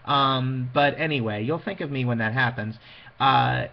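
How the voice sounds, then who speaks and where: A man's voice: medium pitch, unhurried, vocal fry, highs cut off. A man giving an informal lecture to an audience, speaking in a conversational, emphatic way and acting out little asides. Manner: conversational, emphatic, illustrates points with quoted asides. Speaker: lecturer on PA systems for wedding officiants.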